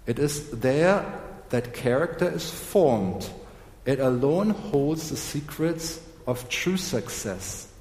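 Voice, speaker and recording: Hoarse guy preaching real slow, keeps clearing his throat, big echoey hall. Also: mid-range voice, expressive, darkish tone. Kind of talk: sermon. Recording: echoey hall with long reverb.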